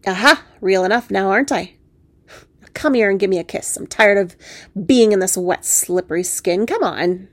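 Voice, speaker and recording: A woman's voice: medium pitch, moderate pace, no echo, lightly acted. A woman voicing a teasing, flirtatious male frog prince in a storybook tale, with theatrical, mock-courtly manners. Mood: impatient coaxing.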